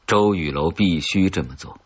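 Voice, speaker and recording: A man with a husky voice reading a scripted suspense story as an audiobook narrator. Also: sits low, normal speed, muffled recording.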